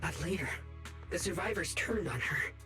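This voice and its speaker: A man voicing a gentle, kind male bamboo being who tells a story in a calm, measured way in game dialogue, with music under it. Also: sits high. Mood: somber.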